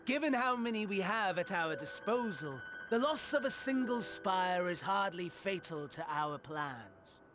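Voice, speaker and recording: A man's voice: sits high, big pitch swings, a little slow, hardly any pauses, background noise. A man playing a courteous, mocking villainous schemer with ornate, theatrical delivery in a video game cutscene. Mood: measured, ominous.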